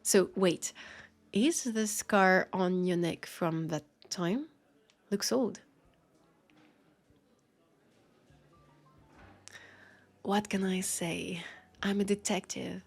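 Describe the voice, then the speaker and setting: A woman with a French accent voicing a wry, centuries-old vampire detective in an intimate audio roleplay, husky and creaky, talking in an unhurried, conversational way. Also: medium pitch, full character voice. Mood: curious, playful. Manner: unhurried, conversational, with pauses and sighs.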